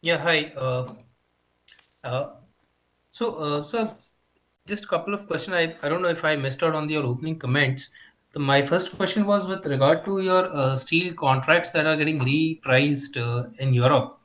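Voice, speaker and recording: A man's voice: fairly high pitch, normal speed, a bit bright, poor audio. A man, a financial analyst, politely and a little hesitantly asking questions on an earnings call.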